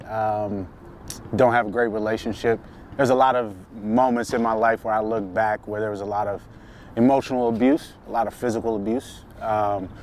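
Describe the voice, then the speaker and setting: A man in his twenties from Texas, hosting a relaxed, open conversation with lots of fillers and easy laughs. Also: lowish voice, slight background noise. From Dallas, Texas. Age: 20s. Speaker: host. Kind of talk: casual conversation.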